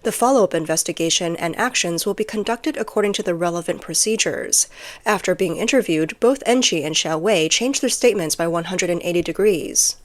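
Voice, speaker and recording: A woman's voice: lowish voice, fairly quick. Woman narrating a scripted news report. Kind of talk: scripted news narration with read-out translated quotes.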